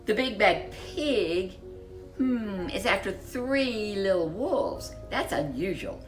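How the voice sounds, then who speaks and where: A woman reading a children's story aloud as host, with expressive narration and dramatic, drawn-out emphasis on key words. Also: mid-range voice, faint music behind.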